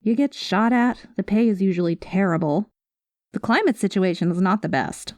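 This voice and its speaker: A woman playing a cynical, guarded space smuggler with a soft spot, talking to the listener in a wry, world-weary way in an ASMR roleplay. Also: mid-range voice, moderate pace, dry recording, close to an everyday voice. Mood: exasperated, guarded.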